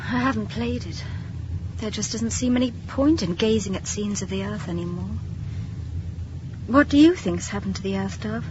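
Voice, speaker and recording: An actress playing an anxious, cautious young starship crew member in a science fiction radio drama, earnest and expressive. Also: female voice, mid-range voice, moderate pace, natural voice. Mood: despairing. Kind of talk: 1980s British radio science fiction acting. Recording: muffled, noisy old radio drama recording.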